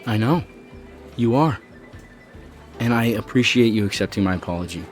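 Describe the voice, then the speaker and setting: A man's voice: fairly low pitch, normal speed, natural voice. An actor playing a beleaguered, apologetic male chief executive in an audio soap opera, earnest and uneasy as he pleads. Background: music under the dialogue.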